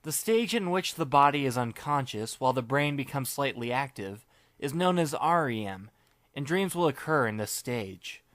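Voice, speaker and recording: A man narrating a fan audiobook in the first person as a wry, laid-back high school student, deadpan and musing. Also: normal speed, dry recording, natural voice. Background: music, plucked guitar.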